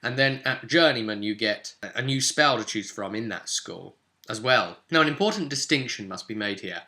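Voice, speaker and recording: A man's voice: mid-range voice, animated, moderate pace, pushed and bright. A man giving a casual, chatty tutorial as a game guide, emphatic and conversational, often adding tag questions. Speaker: tabletop game guide presenter.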